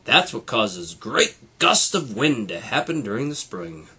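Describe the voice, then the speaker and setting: A man's voice: mid-range voice, big pitch swings, measured pace, sharp tone, breathy edge, heavily acted. A man voicing a helpful, knowledgeable talking airplane radio that acts as a guide in a children's storybook. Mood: informative.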